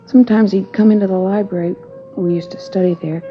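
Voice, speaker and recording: A woman's voice: medium pitch, steady tone, brisk, soft background music, natural voice. An actress playing a worried, earnest teenage schoolgirl who answers police questions in a hesitant, chatty way, in 1950s radio drama style. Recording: dull old radio broadcast with hiss.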